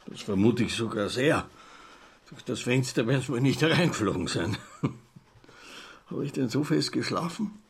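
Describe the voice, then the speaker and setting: An actor playing a chatty, self-important greying traveller of about fifty in a radio play, rambling along with an Austrian accent and rhetorical questions. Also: male voice, mid-range voice, expressive, normal speed, gravelly, pauses often, breathy edge. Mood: chatty, amused.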